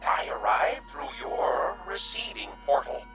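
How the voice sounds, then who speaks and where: A man's voice: mid-range voice, wildly swooping pitch, a little slow, gravelly, reverberant, over music, full character voice. A man playing a timid, courteous alien refugee in a radio drama, speaking in stilted, formal, hesitant phrases. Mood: reserved, cautious.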